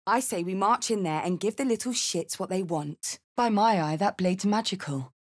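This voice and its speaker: A woman's voice: mid-range voice, normal speed, natural voice. A woman playing a bold, blunt tiefling warrior in a video game, hot-headed but caring, with a punchy, theatrical delivery. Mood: wry, alert. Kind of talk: video game character lines and spell chants.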